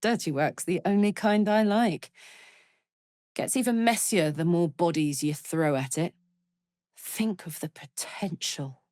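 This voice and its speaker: A woman's voice: on the low side, a little slow, a little mellow, dry recording, studio quality, lightly acted. A woman voicing a brash, big-hearted fiery tiefling warrior in a video game, blunt, slangy and swaggering. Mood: playful, cheeky. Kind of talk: video game character voice lines.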